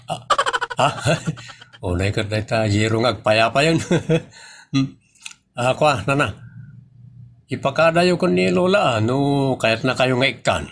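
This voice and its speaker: A man's voice: mid-range voice, measured pace, pauses often, fairly bright tone. An Ilocano-accented man playing a kindly, patient charity vlogger in a comedy audio drama, speaking in a hesitant, conversational way. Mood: helpful, amused.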